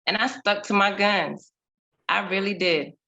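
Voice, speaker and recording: Woman in her thirties, storyteller; slow, deliberate pacing and a bright, forward tone, dramatic and expressive over a video call. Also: mid-range voice, vocal fry, highs cut off. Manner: expressive, dramatic storytelling with big swings in volume. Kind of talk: personal storytelling performance.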